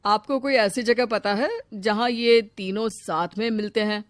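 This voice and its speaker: A woman's voice: medium pitch, fairly even intonation, unhurried, no room sound, studio quality, natural voice. A woman voicing a concerned, practical village headman in a cartoon moral story. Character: concerned male village headman, takes charge.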